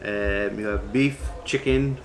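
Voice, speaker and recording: A man's voice: lowish voice, slow, background noise. A man from England with a mixed English and Maltese accent, chatting casually about food in a pub. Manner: chatty, laughs often, restarts sentences. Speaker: food vlogger. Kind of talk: casual chat. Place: pub dining area. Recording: music playing in the background.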